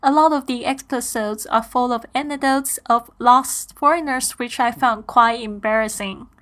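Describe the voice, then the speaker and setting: A woman in her thirties, originally from Taiwan, introduces her own podcast in a fairly high voice. She reads a prepared script at an even pace, earnest and upbeat, in the manner of a scripted show opener. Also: moderate pace. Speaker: podcast host.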